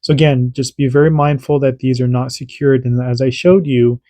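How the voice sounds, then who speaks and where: Casual male tutorial host, warm low-key tone, dry close sound, rambling explainer. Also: fairly even intonation, moderate pace, no room sound. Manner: chatty, repeats himself, radio checks. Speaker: radio hobby channel host.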